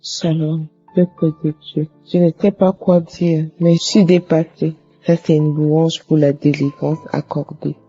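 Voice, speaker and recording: A woman's voice: sits low, level tone, a little slow, hardly any pauses, dark tone, breathy edge, dull audio, faint music behind. A woman giving a fervent testimony, repeating phrases and breaking into praise. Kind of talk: emotional spoken testimony.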